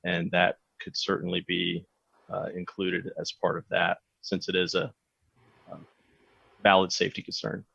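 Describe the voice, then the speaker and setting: A man giving a measured, formal technical answer as a consultant, speaking over a remote call. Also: deep voice, completely flat delivery, vocal fry, a bit bright, husky, dry recording. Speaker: traffic consultant. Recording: dull, dry remote call audio.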